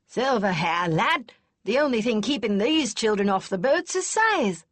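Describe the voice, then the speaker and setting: A woman voicing a gruff, blunt older noblewoman in an audiobook, booming and teasing with emphatic force. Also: big pitch swings, unhurried, no room sound. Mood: scornful. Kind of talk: audiobook character voice.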